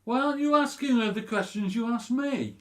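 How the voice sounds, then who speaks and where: A man's voice: very high-pitched, a little fast, fairly bright tone. A male actor in his forties playing a flustered, defensive middle-aged husband in a radio comedy, hesitant and easily put out. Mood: indignant. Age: 40s. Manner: hesitant, indignant when challenged. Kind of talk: radio play comedy acting.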